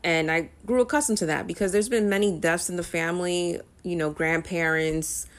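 A woman's voice: lowish voice, fairly even intonation, normal speed. A young woman talking casually to camera as a music vlogger, rambling with frequent ums and uhs.

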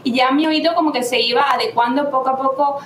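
A woman telling a lively story in a panel discussion, laughing as she talks. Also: high voice, quick pace, roomy sound, a little hiss or hum.

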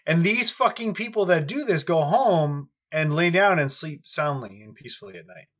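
A man's voice: on the high side, animated, moderate pace, a bit bright. A man in casual podcast chat, ranting heatedly with frequent swearing.

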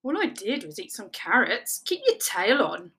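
A woman's voice: mid-range voice, big pitch swings, normal speed, pushed and bright, breathy edge, heavily acted. A woman voicing a sleepy, unbothered sloth in a children's story, with a tired, matter-of-fact drawl.

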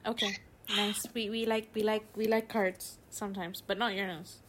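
A woman hosting a casual podcast chat, relaxed, with lots of fillers and easy laughter. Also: medium pitch, moderate pace. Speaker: podcast host. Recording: faint background noise.

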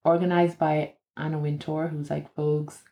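A young woman co-hosting a casual podcast in Ireland, chatty and quick to laugh, explaining things at length. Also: low voice, level tone, gravelly, warm tone. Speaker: podcast co-host. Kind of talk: casual chat. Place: room in Ireland.

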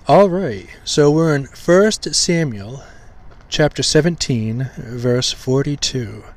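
A man hosting a podcast, teaching scripture in an informal way with a slightly hoarse, weak voice, full of pauses, ums and restarts while he tries to enunciate carefully. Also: mid-range voice, lively intonation, darkish tone. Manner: halting, many ums and restarts, deliberate enunciation.

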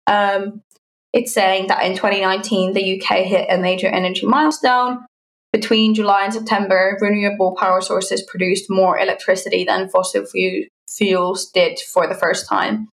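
A woman's voice: mid-range voice, flat delivery, moderate pace. A woman from Finland, a native Finnish speaker, chatting casually as a podcast co-host and sharing news in informal, hesitant phrasing. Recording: roomy, echoey sound.

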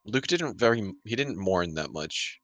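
Male co-host with a very animated delivery and close, dry sound, rambling through casual opinions. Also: on the low side, unhurried, dry recording. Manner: rambling, heavy use of "like". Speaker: podcast co-host. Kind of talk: casual panel chat, opinionated.

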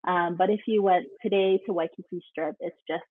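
A woman's voice: fairly low pitch, fairly even intonation, a little fast, no room sound, narrow, tinny audio. Woman from Hawaii on thin call audio. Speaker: podcast guest. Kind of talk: casual interview chat.

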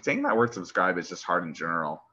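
A man's voice: deep voice, fast talker, lo-fi sound, a little hiss or hum. A young man with a lisp co-hosting a podcast, chatting casually, swearing and laughing a lot. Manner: profane, laughing, animated. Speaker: podcast co-host. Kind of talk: casual chat.

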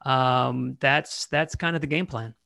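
A man's voice: mid-range voice, fairly even intonation, a little slow, creaky, bright, forceful tone, no room sound, studio quality. A man in his forties answering as a podcast guest, hesitant, with many fillers and repeated words. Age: 40s. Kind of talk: casual interview answer.

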